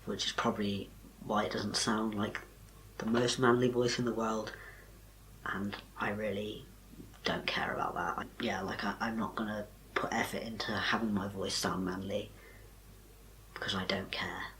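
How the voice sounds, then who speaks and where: A young man, talking casually to camera in a soft, quiet, breathy voice with little power behind it. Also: lowish voice, little pitch movement, moderate pace, a little hiss or hum, rough recording. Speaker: vlogger.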